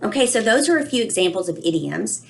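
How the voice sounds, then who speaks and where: A woman reading aloud and teaching children, explaining expressively and pausing to ask questions. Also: mid-range voice, big pitch swings, creaky, slight background noise. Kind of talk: read-aloud lesson with questions to young listeners.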